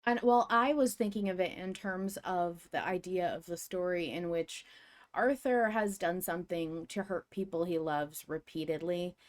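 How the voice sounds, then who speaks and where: A woman's voice: on the low side, normal speed. A woman co-hosting a podcast, speculating in an informal, chatty fan discussion. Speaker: podcast co-host. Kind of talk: casual fan discussion of an audio drama.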